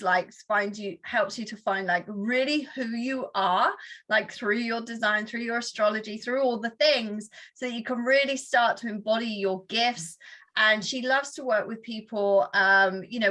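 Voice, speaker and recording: Bubbly host lady, super bright voice, all yay and like. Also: fairly high pitch. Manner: bubbly, gushing, lots of "like" and "yay". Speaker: podcast host. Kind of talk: casual interview show.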